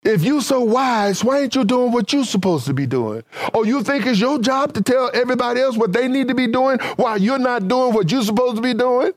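A man's voice: very high voice, animated, a little fast, few pauses, no room sound, studio quality. A man preaching a sermon with a fervent, rising and falling cadence and casual, conversational phrasing.

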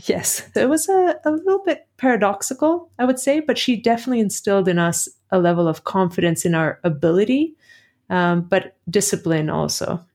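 A woman's voice: mid-range voice, expressive, fairly quick, a little mellow. A middle-aged woman from Greece with an American accent, telling personal stories as an interview guest. She talks conversationally and thinks aloud, correcting herself as she goes. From Athens, Greece. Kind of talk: reflective personal interview storytelling.

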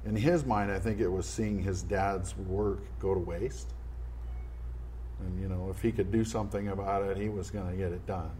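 A man's voice: deep voice, steady tone, moderate pace, mellow, a little hiss or hum. A man being interviewed, telling a family story in an earnest, thoughtful way, often restarting his sentences. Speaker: interview guest. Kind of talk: conversational storytelling.

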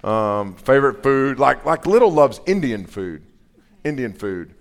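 A man, casually hosting a couples panel at a live event, relaxed and chatty. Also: medium pitch, a little slow. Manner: relaxed, chatty, laughs often.

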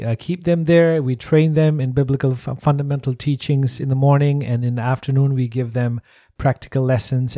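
Nepali-accented man, warm dry voice. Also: medium pitch, dry recording. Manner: earnest, plain phrasing with frequent "uh" restarts. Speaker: ministry leader, guest. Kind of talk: interview answers.

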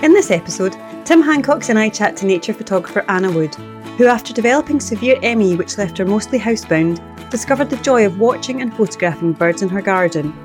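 A woman co-hosting a nature podcast, reading a clear, friendly introduction and asking the guest her first question. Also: medium pitch, animated, fast talker. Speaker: podcast co-host. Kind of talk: scripted intro and interview questions. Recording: music bed under the voice.

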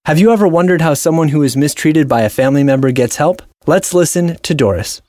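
A man's voice: fairly high pitch, animated, a little fast, dark tone, dry recording. A man hosting an audio drama and talk program, reading scripted narration. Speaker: host. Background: music.

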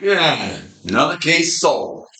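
An amateur actor playing a blunt, offhand supernatural detective in a community radio play, recorded in an echoey room. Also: male voice, fairly high pitch, very animated, slow, pushed and bright, exaggerated voice. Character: gruff hard-boiled male supernatural detective.

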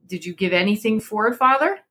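A woman acts an old wife and mother from a storybook. Her voice sits raised and bright, with a wry, watchful, questioning manner. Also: medium pitch, animated, a little fast, a little hiss or hum, pitched above the performer's own voice.

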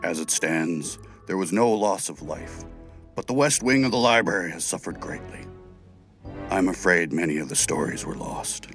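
Deep-voiced, solemn groundskeeper creature. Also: male voice, expressive, a bit bright, faint music behind. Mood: solemn, respectful. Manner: formal, respectful, measured. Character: solemn, loyal, big male creature, gardener-groundskeeper. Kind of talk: children's audio drama acting.

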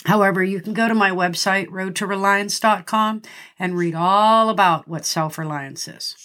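A middle-aged woman hosts a podcast with a slightly nasal, stuffed-up sound from a cold. She keeps a friendly tone and pauses between items as she reads out lists. Her pitch sits in the middle for a woman. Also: fairly even intonation, fairly bright tone. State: congested from a cold. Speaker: podcast host. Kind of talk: scripted podcast monologue.

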